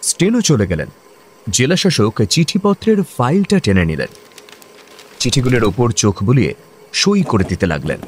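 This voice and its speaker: A man's voice: medium pitch, big pitch swings, moderate pace, pauses often, a little hiss or hum. A man narrating a scripted comedy radio play as a dramatic storyteller.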